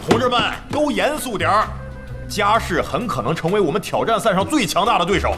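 A wry esports veteran in a radio drama. His slightly high voice swings widely in pitch, theatrical and teasing, with faint music behind. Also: sing-song, fairly quick. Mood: mock solemn. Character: wry, theatrical veteran esports team member, joking man. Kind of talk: Chinese radio drama acting.

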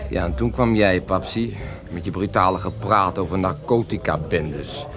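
An actor in a radio play playing a cocky, lovesick young beatnik poet who smokes marijuana, with a theatrical, mocking drawl. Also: male voice, sits low, moderate pace, over music. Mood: dreamy, scornful. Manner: theatrical, mocking, languid drawl with sudden outbursts.

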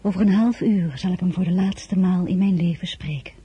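Elegant noblewoman, radio play, breathy and rough-edged voice, dark warm timbre, measured, composed, intimate narration. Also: fairly low pitch, dull audio, faint music behind. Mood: reflective. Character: elegant woman of high rank, composed, wryly resigned. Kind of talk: radio play acting with first-person narration.

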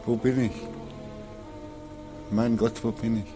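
A man's voice: mid-range voice, level tone, slow pace, over music, lightly acted. An actor playing a weary, desperate man in his twenties in a 1940s radio drama. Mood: bewildered. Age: 20s. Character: despairing, weary 25-year-old war returnee wanting to die. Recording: vintage 1940s radio recording.

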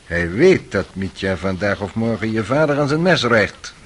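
A man's voice: fairly low pitch, expressive, normal speed, slight background noise, lightly acted. An actor playing a cynical, worldly young man in a radio drama, sly and needling in manner. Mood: sardonic. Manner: sardonic, insinuating.

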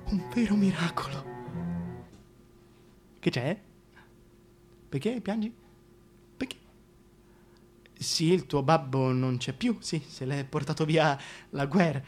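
A scheming, sadistic dictator king, music behind. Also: high voice. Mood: mocking tenderness, then false comfort. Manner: brooding, halting, talking directly to the listener. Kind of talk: theatrical radio drama monologue.

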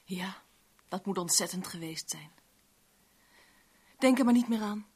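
An actress playing a caring young love interest in a 1970s Dutch radio drama, speaking in a familiar, solicitous way. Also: female voice, medium pitch, measured pace, darkish tone, husky. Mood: caring, light. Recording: noisy old radio drama recording.

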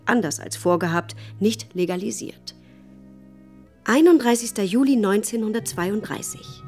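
An actress playing the resolute, caring wife of a persecuted German professor, narrating in a dramatised 1930s documentary radio play. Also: female voice, medium pitch, lightly acted.

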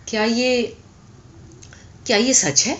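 A woman's voice: a little high, steady tone, a bit bright, slight background noise, voice pushed up, close to an everyday voice. A woman voicing a timid, astonished male donkey suitor in a story, with hesitant, stammering questions. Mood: nervous, hesitant.

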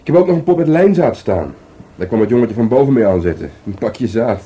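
An actor playing a grumbling but playful police detective in a radio play, speaking informally with a Netherlands Dutch accent. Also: male voice, mid-range voice, expressive, a little fast, warm tone, slightly rough. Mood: relaxed, chatty. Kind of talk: naturalistic radio play dialogue. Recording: faintly noisy radio play recording.

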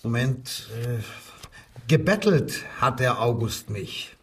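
An actor playing a coldly mocking Nazi police commissioner who interrogates in a controlled, commanding radio crime drama style. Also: male voice, mid-range voice, sing-song, slow, breathy edge, distant mic, full character voice. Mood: stern, pressing. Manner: sardonic, probing, controlled, commanding.

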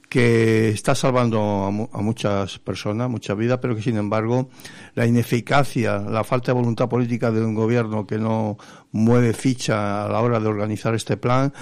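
Gravelly Spanish man, earnest and halting. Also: lowish voice, darkish tone, dry recording. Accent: Spain. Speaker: interview guest, patients' association vice president and activist. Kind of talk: explanatory account in a radio interview. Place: radio studio.

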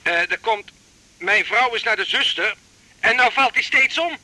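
An actor playing a fretful, stubborn husband on the phone, plain-spoken, agitated and insistent, in a Dutch radio drama from the eighties. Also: male voice, extremely high pitch, normal speed, sharp tone, no room sound, heavily acted. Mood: agitated, worried. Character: fretful, stubborn married man phoning about his cat.

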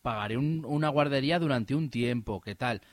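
A man telling an animated, indignant personal story in casual radio chat, with many restarts. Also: medium pitch, normal speed, no room sound. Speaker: radio host.

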